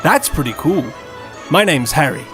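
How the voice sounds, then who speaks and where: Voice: mid-range voice, expressive, moderate pace, over music, voice pushed down, natural voice. A performer voicing a meek, earnest young boy with a hesitant, apologetic manner in a comic audio story. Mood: shy, friendly.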